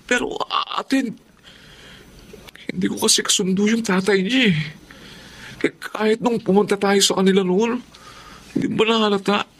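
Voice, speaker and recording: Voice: mid-range voice, steady tone, moderate pace, pauses often, a bit bright. A performer playing a timid, apologetic young student, a younger brother, pleading and hesitant in a radio drama. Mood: anxious, pleading. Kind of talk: Tagalog radio drama acting.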